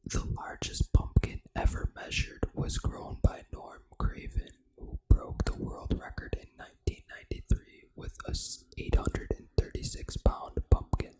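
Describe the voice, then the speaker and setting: Breathy whispering ASMR gaming host. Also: unhurried, hardly any pauses, poor audio. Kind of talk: whispered ASMR, reading out facts.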